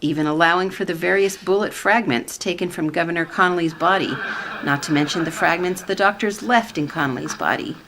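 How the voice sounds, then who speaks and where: A woman playing a skeptical, wry commission staffer in a sitcom-style radio play, speaking in a measured way. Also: on the low side, fairly bright tone, a little hiss or hum.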